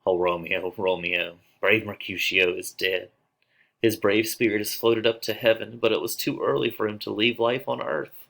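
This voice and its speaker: A man's voice: fairly low pitch, steady tone, moderate pace, gravelly, a bit bright. A man playing an earnest, loyal young nobleman giving his lines in a declamatory play reading. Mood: urgent alarm.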